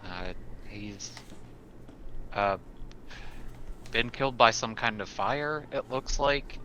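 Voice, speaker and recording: A man with a bright, forceful, somewhat low voice plays in a tabletop game. He talks slowly and casually, making it up as he goes. His microphone sounds dull and lo-fi. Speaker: player in a tabletop roleplaying game. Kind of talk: casual, improvised table talk. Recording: dull lo-fi mic with clear noise.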